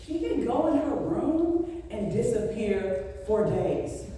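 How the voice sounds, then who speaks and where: A middle-aged woman giving a humorous storytelling speech on stage, theatrical, with dramatic pauses and emphasis. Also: fairly high pitch, lively intonation, warm tone. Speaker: speech contest speaker. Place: large indoor hall, before an audience. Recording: very echoey hall, distant mic, faint noise.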